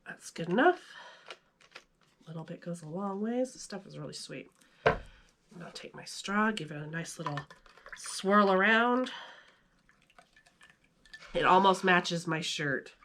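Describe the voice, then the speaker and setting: Slow-paced woman, playful and chatty. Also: fairly low pitch, animated, a bit bright, slight background noise. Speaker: video host and storyteller. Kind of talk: casual vlog chat and children's story read-aloud.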